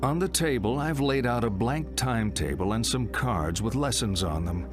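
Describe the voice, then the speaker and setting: An actor playing a blunt, probing psychotherapist in a video game, talking in a deliberate, wry way. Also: male voice, mid-range voice, expressive, music in the background, close to an everyday voice. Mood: instructing. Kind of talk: video game cutscene acting.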